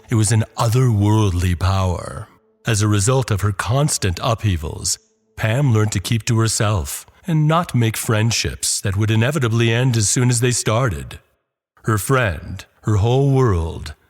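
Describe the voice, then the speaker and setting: Casting: male horror-podcast narrator, low voice, scripted delivery with dramatic pauses, over clear background music. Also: a little mellow. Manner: dramatic pauses and drawn-out phrases.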